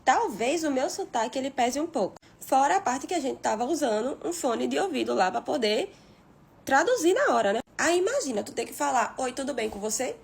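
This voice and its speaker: A woman, talking casually and defensively to her followers, blunt and expressive. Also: sits high, brisk, gravelly, breathy edge. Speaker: reality show participant. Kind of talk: casual social media video.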